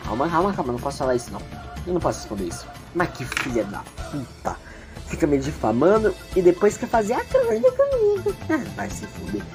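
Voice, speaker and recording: A man's voice: fairly high pitch, wildly swooping pitch, fairly quick, over music. A man playing an anxious, hot-tempered young student politician in an amateur audio drama, stammering and swearing. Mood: angry.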